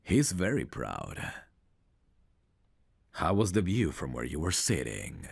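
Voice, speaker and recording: A man playing a big, confident, flirtatious champion fighter in an intimate ASMR audio roleplay, speaking in a deliberate, teasing manner. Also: a bass voice, huge pitch swings, gravelly, slightly rough, no echo, studio quality, exaggerated voice. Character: big confident champion fighter, smooth, flirtatious, guarded about his past. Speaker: voice actor.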